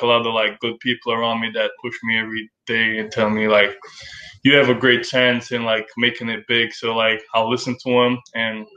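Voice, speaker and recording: A young Jamaican man talks easily in an interview, his sentences loose and full of "like". His voice sounds bright and forward. The room around him adds a roomy echo. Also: medium pitch, highs cut off. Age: young adult. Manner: relaxed, lots of "like" fillers. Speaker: college basketball player, guest. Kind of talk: casual interview.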